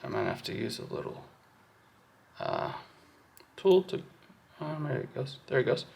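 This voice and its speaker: A man's voice: mid-range voice, big pitch swings, measured pace, creaky, a little mellow, a little hiss or hum. A man giving a casual hands-on walkthrough, thinking aloud informally as he works. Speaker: host of a hands-on wiring walkthrough. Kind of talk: casual workshop explanation while working.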